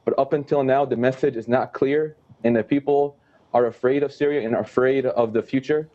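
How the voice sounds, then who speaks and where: A man's voice: medium pitch, fairly even intonation, fairly quick, muffled recording. A young man, a student guest, answering earnestly and repeating words as he searches for them. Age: young adult. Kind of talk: casual interview answers.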